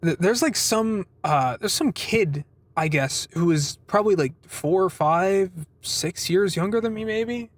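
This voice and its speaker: A man in his twenties with a noticeably high voice talks casually to his viewers. The sound is dry, with little room in it. He rambles through personal stories in an informal way and acts out bits of conversation as he goes. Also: animated, measured pace, dry recording. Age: 20s. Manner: acts out quoted exchanges. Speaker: vlogger giving a weekly update.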